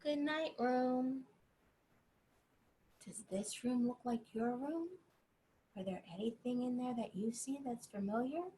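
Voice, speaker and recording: A woman reading a bedtime story to young children, gently encouraging them and pausing to ask questions. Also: a little high, slight background noise, poor audio.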